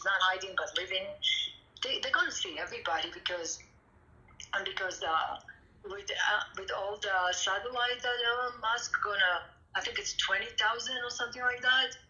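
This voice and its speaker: A woman from Israel, speaking on a chat show in halting bursts with many restarts. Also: medium pitch, bright, forceful tone, dull audio. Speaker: caller. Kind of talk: casual chat.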